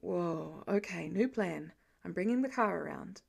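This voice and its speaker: Lady doing a worn out gentle dad in an audiobook, low voice, dry and low-key. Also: lively intonation, a little slow, creaky, slightly rough, exaggerated voice. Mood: gentle, reassuring. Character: gentle, weary middle-aged father, quietly caring.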